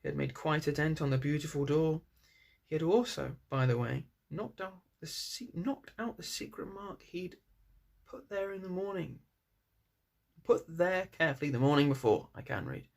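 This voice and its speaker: Creaky-voiced male storyteller. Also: a little high, normal speed, darkish tone. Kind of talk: story narration read aloud.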